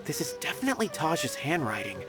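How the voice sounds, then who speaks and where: A man's voice: a little high, wildly swooping pitch, brisk. A man voicing an earnest, anxious, loyal junior investigator in a video game, speaking expressively. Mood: grave, troubled. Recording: background music.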